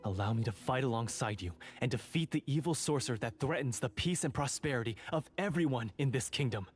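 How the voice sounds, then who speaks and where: An actor playing a remorseful young knight in a video game, speaking earnestly. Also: male voice, mid-range voice, animated, natural voice. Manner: earnest, measured. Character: remorseful young male knight seeking redemption. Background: game music.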